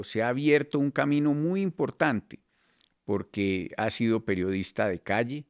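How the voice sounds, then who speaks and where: A man hosting an interview in a measured, ceremonious way. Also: fairly low pitch. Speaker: interview host. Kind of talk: podcast interview, formal introduction and questions.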